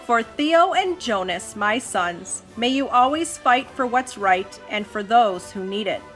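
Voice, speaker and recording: A woman's voice: on the high side, a bit bright. A woman hosting a children's storytime, reading aloud expressively and chatting with questions for young listeners. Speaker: host, mother reading to her child. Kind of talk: read-aloud children's storytime with casual chat.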